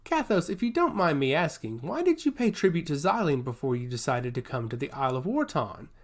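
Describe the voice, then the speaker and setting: A man voicing a stern, shrewd older royal woman in a fantasy story, measured, formal and commanding. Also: on the high side, big pitch swings. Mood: probing.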